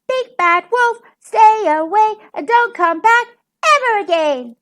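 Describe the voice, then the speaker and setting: Taunting little storybook chicks and ducklings, all done by a woman. They chant in a high, swinging sing-song. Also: animated, slow pace, fairly bright tone, full character voice.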